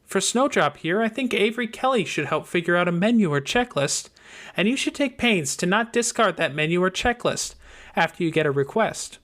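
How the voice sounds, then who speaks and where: A man voicing a composed young woman in an audiobook, a practical mentor giving brisk instructions. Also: sits high, lively intonation, fairly bright tone, voice pushed up. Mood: firm.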